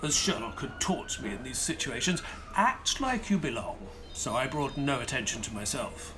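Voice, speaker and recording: Male actor as elderly retired doctor, wistful solemn storyteller, lively intonation, radio play narration, music underneath. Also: mid-range voice, moderate pace, lightly acted. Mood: reflective, solemn. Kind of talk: dramatic first-person scripted narration.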